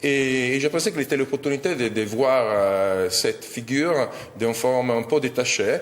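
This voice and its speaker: A man's voice: medium pitch, moderate pace, reverberant, long reverb tail. Italian-accented man speaking hesitant French. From Italy. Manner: hesitant, searching for French words. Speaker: guest actor. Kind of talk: interview.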